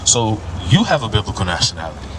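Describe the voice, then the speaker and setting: A man's voice: on the low side, very animated, quick pace. A man preaching on the street to a passerby, lecturing and asking "right?" over and over. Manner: lecturing, asks "right?" again and again. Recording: street noise, mic at a distance.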